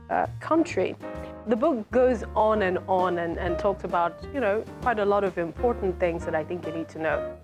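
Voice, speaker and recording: A woman, an author reading from her book and commenting on it in an explanatory, measured way. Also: medium pitch, darkish tone. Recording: music playing behind the voice. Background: music.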